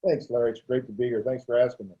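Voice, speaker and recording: A man's voice: medium pitch, steady tone, very fast. A man in his sixties, a veterinarian being interviewed, talking openly and stuttering with repetitions and restarts. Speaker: guest, veterinarian. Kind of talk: conversational interview. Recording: dull lo-fi audio.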